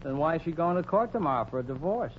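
A man with an American accent playing a nosy, smart-alecky soda fountain clerk in deadpan 1940s radio comedy style. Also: on the high side, fast talker, close to an everyday voice. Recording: dull, noisy 1940s radio recording.

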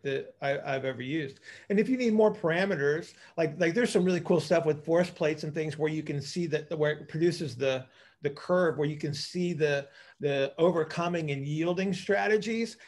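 A man with a slightly high voice talks as a coach on a video call. He explains things casually, stopping and starting and repeating phrases as he thinks aloud. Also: vocal fry. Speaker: coach hosting a group call. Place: indoors on a group video call.

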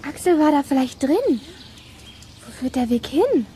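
Very high-pitched eager little girl. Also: sing-song, unhurried, mellow, slight background noise. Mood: alarmed. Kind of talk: German children's radio play acting.

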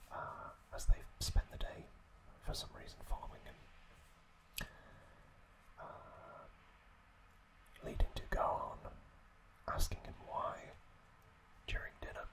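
A man speaks entirely in a soft, breath-only whisper. He takes his time, moving very slowly through an ASMR-style recap with frequent pauses. His delivery is halting and unhurried. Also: rough recording. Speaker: ASMR host.

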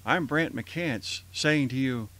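A man's voice: mid-range voice, takes it slowly, pushed and bright. A man hosting and announcing a radio theater outro, addressing listeners directly in a friendly, scripted way. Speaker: host and announcer.

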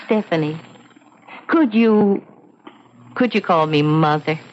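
An actress playing an eerie, yearning motherly woman in a radio drama, coaxing gently and deliberately. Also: low-pitched. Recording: muffled old radio recording, faint noise.

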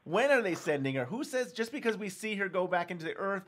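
A man's voice: high voice, sing-song, quick pace, a bit bright. A man co-hosting a podcast, talking casually as a fan in discussion. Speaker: podcast co-host.

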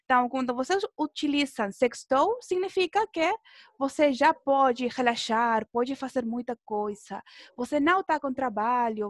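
High-voiced woman teaching an online class. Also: dry recording, studio quality. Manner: encouraging, checking with tag questions, prompting pupils. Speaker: language teacher. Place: indoor room, online video class.

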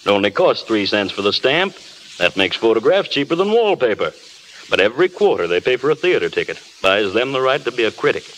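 An actor in a 1930s radio drama playing a tactful but frank film studio boss. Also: male voice, medium pitch, sing-song, a little fast, a bit bright. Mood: gently blunt. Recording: noisy old 1930s radio broadcast.

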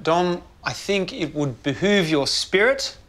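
A man in his thirties in a comedy sketch, speaking calmly and earnestly with a slightly pompous air. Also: high-pitched, a little slow.

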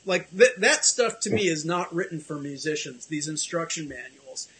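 A man's voice: on the high side, animated, moderate pace, crowd noise, rough recording. A middle-aged man hosting a casual video call chat from his home office, rambling and self-deprecating with many restarts. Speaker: host.

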